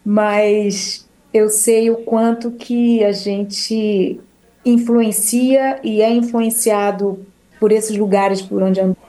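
A Brazilian woman singer talks through an interview in a mellow, soft-edged voice. She rambles warmly, restarts her sentences and keeps checking in with her listener. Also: mid-range voice, steady tone, slow pace, low-quality recording. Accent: Brazilian Portuguese. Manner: warm, rambling, with restarts and frequent "né?". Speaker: singer and choir leader. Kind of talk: casual interview talk.

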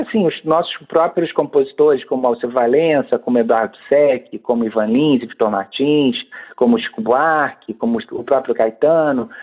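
A man with a Brazilian Portuguese accent, a music researcher talking conversationally with restarts and tag questions. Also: mid-range voice. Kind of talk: interview clip, recalling music history. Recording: phone-like narrow band.

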